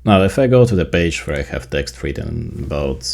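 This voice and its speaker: Very deep-voiced Ukrainian man explaining tech. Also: lively intonation, normal speed, a little mellow. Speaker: tech video creator. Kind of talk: casual software tutorial narration.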